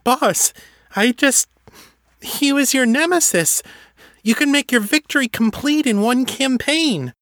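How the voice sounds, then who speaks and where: A man's voice: unhurried, a bit bright, no room sound, studio quality. A man voicing an eager, cheeky female elf servant in a storybook reading. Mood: flustered. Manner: high, eager, flustered.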